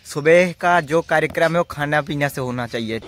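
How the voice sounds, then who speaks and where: Higher-pitched young man vlogging outdoors. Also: normal speed. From rural India. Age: young adult. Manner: informal, addresses viewers as brothers. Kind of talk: casual vlog chat to camera. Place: outdoors in village fields and tented cricket ground. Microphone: phone at arm's length. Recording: noisy outdoor phone recording.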